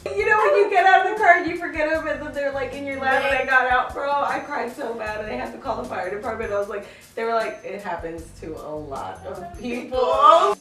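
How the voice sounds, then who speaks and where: Laughing young woman, blunt and lively. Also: sits high, a little fast, roomy sound, recorded from a distance, faint music behind. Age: 20s. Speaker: panel guest. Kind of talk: casual group chat.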